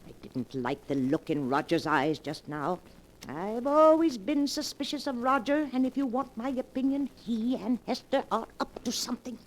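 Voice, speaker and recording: A sharp, suspicious old lady acted by a woman in a 1940s radio play. Her voice is high and very expressive, with deliberate dramatic delivery, over a noisy vintage recording. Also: close to an everyday voice. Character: elderly woman, doting cat owner, sharp and suspicious.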